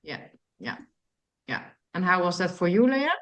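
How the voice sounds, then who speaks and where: A woman hosting a casual interview, asking her questions in a tentative, slightly halting way. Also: on the low side, big pitch swings. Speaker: podcast host.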